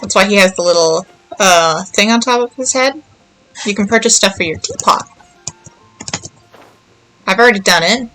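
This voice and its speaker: A woman's voice: medium pitch, normal speed, long pauses, bright, forceful tone, no echo, soft background music, rough recording. A woman chatting casually as she streams a game, rambling and thinking aloud. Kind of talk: casual livestream chat while playing.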